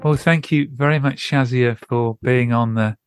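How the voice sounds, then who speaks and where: Clear, smooth-voiced male podcast interviewer. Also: medium pitch, steady tone, moderate pace, no echo, studio quality, faint music behind. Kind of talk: conversational interview.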